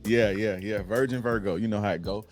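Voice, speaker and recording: A man in his thirties from Florida, a dating coach guest talking in a laid-back, unhurried way with many fillers. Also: on the low side, fairly even intonation, loud music behind the voice. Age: 30s. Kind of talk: casual interview talk. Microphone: phone line.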